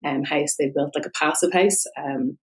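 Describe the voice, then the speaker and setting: Woman, Dublin Irish accent, forties, low voice, chatty with lots of restarts. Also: moderate pace, poor audio. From Ireland. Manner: chatty, laughs often, many restarts. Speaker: guest. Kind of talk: conversational interview.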